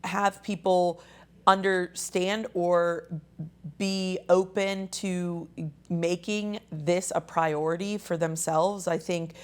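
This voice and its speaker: A woman with a somewhat low, raspy voice hosts a health talk show. She sounds a bit hoarse today and talks in an easygoing, conversational way, leaning on little fillers as she frames her questions. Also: steady tone, slow. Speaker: host.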